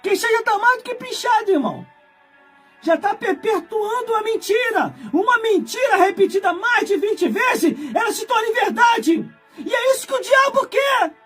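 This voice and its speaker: A man in his forties, a preacher from Brazil, delivering a fiery sermon with shouted, scolding emphasis and heavy repetition. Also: very high voice. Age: 40s.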